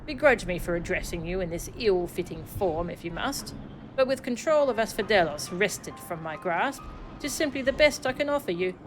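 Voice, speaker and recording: A woman voicing a cold, condescending sorcerer father from a fantasy game, in archaic, measured speech. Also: medium pitch, animated, close to an everyday voice. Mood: smug, taunting. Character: haughty, scornful male sorcerer father, cold and taunting. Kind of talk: theatrical read-aloud of fantasy game dialogue. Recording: music behind the voice.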